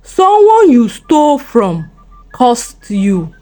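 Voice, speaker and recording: A woman voicing a solemn, ominous native doctor in a folktale, pronouncing words in a drawn-out way. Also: on the high side, wildly swooping pitch, dark tone, exaggerated voice. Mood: grave, ominous. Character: grave village native doctor pronouncing a curse.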